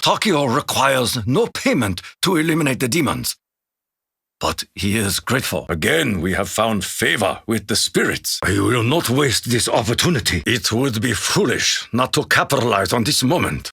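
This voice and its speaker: An actor voicing a solemn, proud Japanese samurai warrior for a video game, with a raspy voice, a Japanese accent and formal, grave phrasing. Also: male voice, medium pitch, pushed and bright, dry recording, studio quality, full character voice. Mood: solemn, grateful. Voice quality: raspy, gruff. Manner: formal, grave, proverb-like phrasing with dramatic emphasis. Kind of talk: video game character voice lines.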